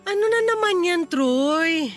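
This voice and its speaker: An actress playing a confrontational, indignant wife in a radio drama, pressing and scolding with pointed questions. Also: female voice, extremely high pitch, lively intonation, unhurried, soft background music. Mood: suspicious, pressing. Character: sharp, indignant, confrontational wife in a household quarrel.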